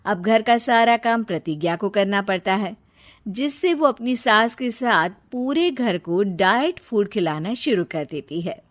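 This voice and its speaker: A woman's voice: medium pitch, animated, a little slow. A woman narrating a scripted story as a storyteller.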